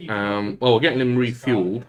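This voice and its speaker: A man's voice: mid-range voice, steady tone, a little fast. A man playing a tabletop game, chatting and bantering in a jokey way. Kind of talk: casual bantering chat.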